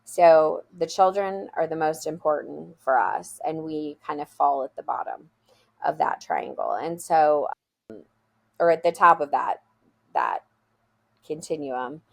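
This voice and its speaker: A school founder being interviewed, she speaks with earnest emphasis and a rather low voice. Her tone carries a gravelly, creaky texture, and she often restarts a phrase before pushing on. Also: very animated, measured pace. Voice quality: loud voice. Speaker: school founder, podcast guest. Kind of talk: interview answers.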